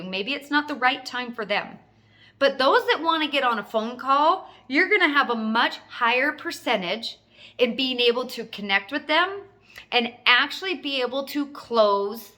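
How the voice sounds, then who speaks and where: A woman's voice: a little high, pushed and bright. A woman giving an informal sales training talk as a coach, emphatic and instructive, repeating key phrases for stress. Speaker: network marketing coach.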